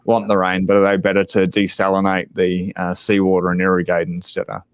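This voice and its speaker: A man's voice: sits low, monotone, a little fast, clear, resonant tone, no echo. A man hosting a casual livestream chat, rambling and laid-back, swearing now and then. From Australia. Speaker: livestream host.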